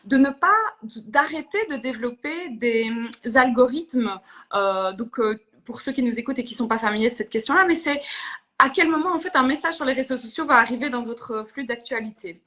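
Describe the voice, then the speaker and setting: This is a young woman, speaking as a guest in a live interview. Her voice sits slightly high. She talks earnestly, with frequent fillers and restarts as she thinks aloud. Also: moderate pace, a bit bright. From Belgium. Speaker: politician guest. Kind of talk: live interview on social media.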